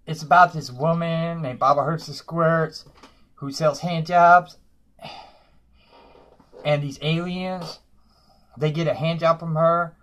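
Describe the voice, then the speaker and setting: A man's voice: a little high, a bit bright. A man playing a boastful, broke woman who lives in a dumpster, tacking "y'all" onto nearly every phrase in a comedy monologue.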